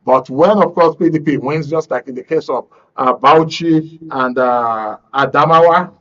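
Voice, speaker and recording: A man's voice: on the high side, a little slow, a bit bright. Nigerian man hosting, slightly muffled sound. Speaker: radio and TV talk show host. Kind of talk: current affairs programme presenting. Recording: dull lo-fi broadcast sound.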